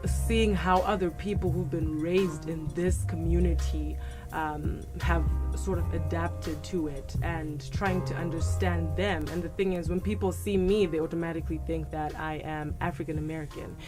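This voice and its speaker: Young female international student from Zimbabwe, slightly low voice, personal conversational reflection, music clearly underneath. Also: fairly even intonation, moderate pace. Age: young adult. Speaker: international student podcast guest.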